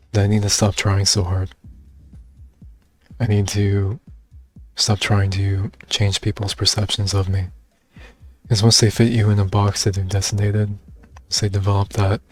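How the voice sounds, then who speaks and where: A young man talking in a soft, reserved and hesitant way, with many fillers, in a personal video monologue. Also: lowish voice. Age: young adult. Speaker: vlogger.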